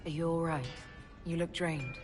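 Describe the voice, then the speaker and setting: An actress playing a calm, frank heroic leader in a video game, speaking in a direct, plain-spoken way. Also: female voice, deep voice, moderate pace, big-room sound, faint music behind, close to an everyday voice. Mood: frank, concerned. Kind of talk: video game dialogue acting.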